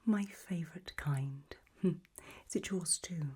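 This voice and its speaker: Woman doing a slow whispery gothic lady, doting and macabre, gracious hostess asmr roleplay. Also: lowish voice. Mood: gracious welcome. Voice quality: soft, breathy whisper.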